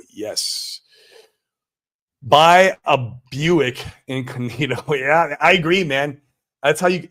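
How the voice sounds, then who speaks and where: A man's voice: mid-range voice, fairly bright tone. An American man hosting a livestream, chatting casually and answering viewers in a slangy, exclamatory way. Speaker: livestream host. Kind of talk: casual chat, answering viewer comments live.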